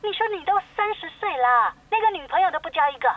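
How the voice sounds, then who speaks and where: A woman's voice: very high voice, lively intonation, fast. A woman playing a nagging, melodramatic middle-aged mother in a comedy radio drama, heard through a telephone filter. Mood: aggrieved, dramatic. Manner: wheedling, dramatic, chatty. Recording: tinny band-limited phone-call sound.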